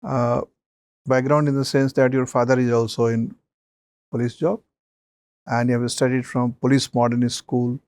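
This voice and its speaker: Gravelly man giving interview feedback. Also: medium pitch, level tone, a little mellow, dry recording. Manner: advising, measured, many fillers. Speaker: mock interview panelist.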